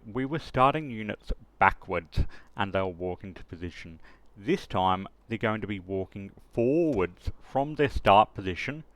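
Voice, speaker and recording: A man's voice: on the low side, fairly bright tone, no room sound. A man presenting a casual screen-recorded tutorial, thinking aloud step by step with some hesitation. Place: close dry voice-over of a screen recording.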